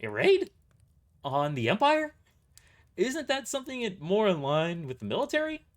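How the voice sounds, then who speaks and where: A man playing an incredulous, higher-pitched mercenary. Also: huge pitch swings, moderate pace. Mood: shocked, questioning. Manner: blunt, startled, skeptical questioning. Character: incredulous, questioning mercenary in a sci-fi fantasy drama.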